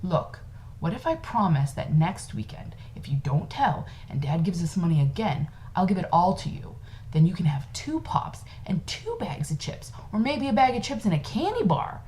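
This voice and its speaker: A bossy young boy read by a woman in a storybook reading. He is a teasing, persuasive know-it-all big brother, his pitch a little raised. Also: big pitch swings, normal speed, warm tone, a little hiss or hum. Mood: coaxing.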